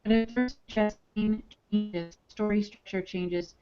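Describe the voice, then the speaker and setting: A woman's voice: medium pitch, fairly even intonation, measured pace, mellow, clear, resonant tone, soft background music. A woman co-hosting a podcast, giving conversational advice about writing. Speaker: podcast co-host. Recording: dull lo-fi audio.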